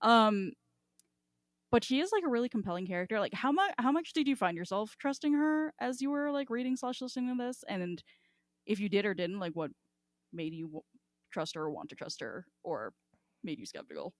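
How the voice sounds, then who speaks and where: A woman's voice: mid-range voice, very animated, a little fast, dry recording, studio quality. A woman hosting a casual book discussion, talking in a rambling, informal way and swearing freely. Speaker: podcast host.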